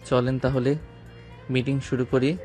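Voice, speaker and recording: A mid-pitched, flat-toned voice, a man acting a young male company boss in an audio drama. He is self-assured and composed, laying out short deliberate phrases over quiet music. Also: close to an everyday voice. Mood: calm, assured.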